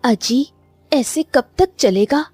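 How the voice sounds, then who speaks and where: A woman plays a farmer's wife in a village cartoon drama, pitched up and slightly husky. She nags and pleads with worry, with faint music behind her. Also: lively intonation, takes it slowly, a little mellow, no echo, exaggerated voice. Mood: complaining. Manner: complaining, pleading. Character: worried, nagging young farmer's wife.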